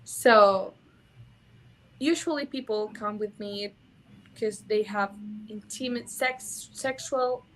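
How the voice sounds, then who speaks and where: Guest, young adult woman from Mexico, Spanish-accented English, earnest and a bit halting, mid-pitched. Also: poor audio. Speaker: intimacy educator guest. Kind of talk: casual conversational interview.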